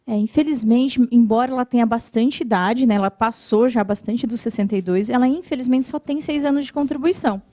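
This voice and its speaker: A Brazilian woman answering viewers' questions about benefits in a TV interview, explaining patiently and clearly, often adding "né". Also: fairly high pitch, a little fast, few pauses, mellow, no echo, clean studio sound. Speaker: social security lawyer guest. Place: TV studio.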